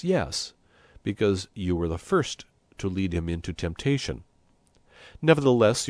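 Christ, voiced by a male narrator: low, unhurried, measured storybook figure, calm and gently reproving. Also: dry recording. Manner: measured, reasoning, unruffled. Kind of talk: storybook character reading.

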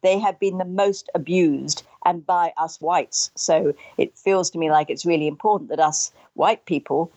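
A woman's voice: fairly low pitch, normal speed, few pauses, a little mellow, no room sound. An older woman, answering in an interview in an earnest, emphatic way and repeating phrases to drive points home. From Australia. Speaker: trauma recovery expert, interview guest.

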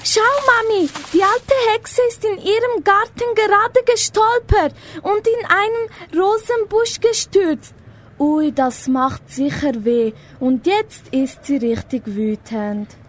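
A teenage girl playing a wide-eyed neighbour child in a school radio play, reading simply and deliberately. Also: very high-pitched. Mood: concerned.

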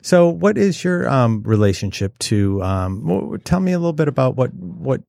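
A man hosting a podcast interview, halting and full of restarts as he asks questions. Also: mid-range voice, sing-song, vocal fry, a little mellow, dry recording, clean studio sound. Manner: halting, many restarts and fillers. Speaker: podcast host. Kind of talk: casual interview.

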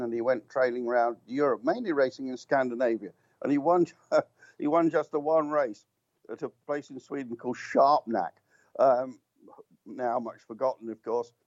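A man's voice: mid-range voice, a little mellow, dry recording, highs cut off. An older man, a guest motorsport commentator, reminiscing at length in a rambling, anecdotal way with many restarts and ums. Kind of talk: reminiscing radio talk.

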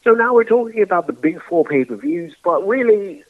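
A high-voiced man with a bouncy, animated delivery cracks jokes and throws in theatrical exclamations while chatting about wrestling. His voice comes through thin and tinny, like a phone line. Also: fairly quick, no echo. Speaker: podcast co-host. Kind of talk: casual wrestling chat.

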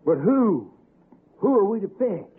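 A man's voice: high voice, sing-song, a little slow, slightly rough, full character voice. A man playing a devout, reluctant mountain father in a 1960s radio drama, with solemn, folksy speech. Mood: defensive, reluctant. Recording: tinny vintage radio, faint music.

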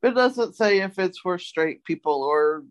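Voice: mid-range voice, animated, moderate pace. A co-host chatting casually and with good humour while presenting words. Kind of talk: casual chat, presenting new dictionary words.

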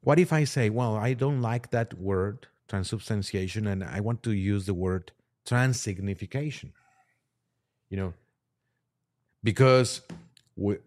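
A man with a lowish voice talks through religious matters as a guest on a podcast. He is deliberate and explanatory, often halting as he picks his words. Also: darkish tone. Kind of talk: religious discussion, reading aloud and commenting.

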